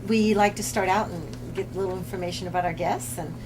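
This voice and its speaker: Woman hosting a local tv show, slightly low voice, lots of uhs and ums, noisy hum. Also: steady tone. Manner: halting, lots of "uh" and "um". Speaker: host. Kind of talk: casual TV talk show hosting. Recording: noisy room ambience.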